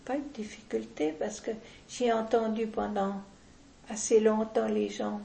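An older woman, talking deliberately and with firm opinions in a casual interview, often restarting her sentences. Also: fairly high pitch, little pitch movement, gravelly, warm tone, reverberant, slight background noise.

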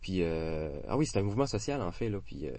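A man in his forties speaks with a Québécois French accent in a fairly low voice. He talks casually and haltingly, stacking fillers and false starts as he thinks aloud. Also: very animated, dark tone, no echo. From Quebec. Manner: hesitant, many fillers and restarts. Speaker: interviewee. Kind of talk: casual conversation.

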